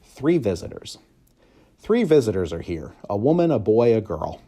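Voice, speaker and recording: A man reading a children's story aloud in expressive, measured, clearly phrased narration. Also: on the low side. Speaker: audiobook reader, school staff member.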